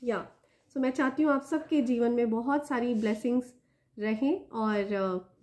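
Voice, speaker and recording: A woman with an Indian accent and a slightly hoarse throat, hosting a spiritual reading and speaking directly to her viewers with gentle blessings. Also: a little high. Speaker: spiritual reader and channel host. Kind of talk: spiritual reading addressed to viewers, Hindi mixed with English.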